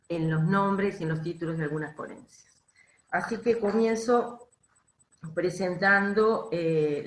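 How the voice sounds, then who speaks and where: Woman, panel moderator, lowish pitch, formal, hesitant, roomy echo. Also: fairly bright tone. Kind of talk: introducing speakers at an academic conference. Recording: echoey room sound.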